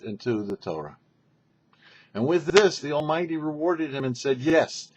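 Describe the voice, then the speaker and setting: Male rabbi host, husky voice, lively emphatic delivery of a scripture lesson, slightly muffled recording. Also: mid-range voice. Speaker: rabbi and host of a weekly Torah portion show. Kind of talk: scripted religious teaching talk.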